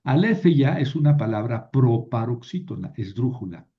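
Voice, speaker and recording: Man, grammar teacher, steady mid voice, asks and answers his own questions; muffled recording. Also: mid-range voice, moderate pace. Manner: patient, explains step by step and repeats key rules. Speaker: Greek teacher. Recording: dull lo-fi sound.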